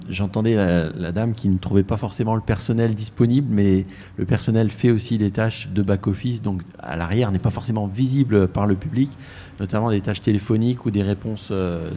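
A man heading a tourist office, answering questions in a live radio interview, with frequent pauses and restarts. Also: fairly low pitch, warm tone. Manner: hesitant, many fillers and restarts.

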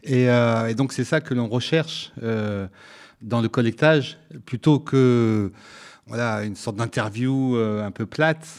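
A man's voice: medium pitch, slow. A man whose first language is Italian, a musician guest speaking hesitantly in a live radio interview, with many fillers and restarts.

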